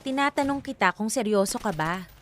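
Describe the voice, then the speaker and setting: A woman's voice: medium pitch, expressive, a little fast, lightly acted. A woman with a Filipino accent playing an exasperated, demanding young girlfriend in radio drama dialogue, pointed and confrontational. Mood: irritated, confronting.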